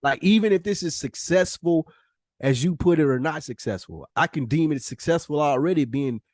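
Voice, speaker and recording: Emphatic man, higher-pitched, very dry sound. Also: animated, normal speed, darkish tone, no echo. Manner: emphatic, earnest, profane. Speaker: podcast co-host. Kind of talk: casual, candid podcast conversation.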